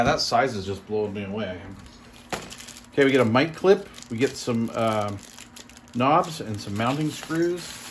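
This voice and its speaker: Man, medium pitch, casual unboxing commentary, impressed mild exclamations, noticeable ambient noise behind the voice. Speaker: product reviewer. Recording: clear background noise and room ambience.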